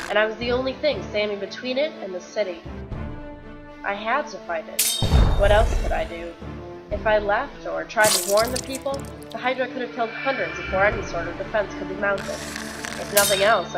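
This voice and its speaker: A woman's voice: medium pitch, normal speed, loud music behind the voice, lightly acted. A woman playing a weary, guilt-ridden warrior and reluctant champion, telling her story earnestly in a fan-made fantasy drama. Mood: recounting, rueful. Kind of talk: dramatic dialogue in a fan-made motion comic.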